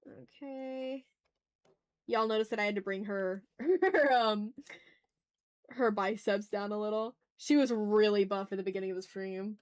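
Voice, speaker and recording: A woman hosts a casual art livestream in a mid-pitched voice. She talks informally to her chat while she draws. Her speech often turns into thinking aloud and muttering to herself. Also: steady tone, unhurried, smooth.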